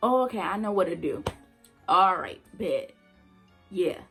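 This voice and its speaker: Gravelly young woman telling it straight. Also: lowish voice, expressive, a little slow, a bit bright, faint music behind. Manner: emphatic, blunt, repeats points for effect. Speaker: former nursing assistant vlogger. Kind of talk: casual storytelling vlog.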